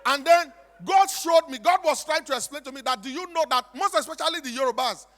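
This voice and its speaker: High-pitched Nigerian man addressing an echoing hall. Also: fairly quick, fairly bright tone. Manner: emphatic, repetitive, with rhetorical questions. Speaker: stage speaker and comic storyteller. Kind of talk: animated preaching-style storytelling to an audience. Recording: echoey hall with long reverb.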